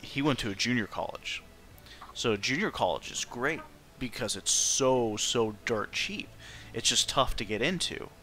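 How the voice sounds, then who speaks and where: A man's voice: mid-range voice, animated, unhurried, sharp tone. A man talking casually on a stream, opinionated and emphatic in how he makes his points. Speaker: streamer chatting during a game playthrough. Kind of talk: casual chat.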